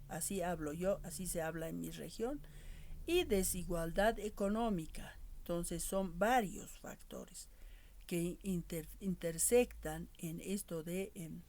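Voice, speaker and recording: A woman giving a class lecture in a measured way, often ending her points with tag questions to her students. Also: lowish voice, a little mellow.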